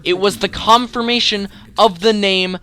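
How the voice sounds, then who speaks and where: Higher-pitched man, bright punchy voice, emphatic countdown commentary, enthusiastic delivery. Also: steady tone, normal speed, smooth. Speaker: video creator and countdown host. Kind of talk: enthusiastic scripted top-ten commentary. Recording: music behind the voice. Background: music.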